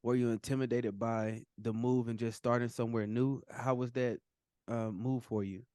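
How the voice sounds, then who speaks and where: A man's voice: medium pitch, flat delivery, moderate pace, mellow, dry recording, studio quality. A man hosting an interview, asking questions in a hesitant way with many fillers. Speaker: host. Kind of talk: podcast interview.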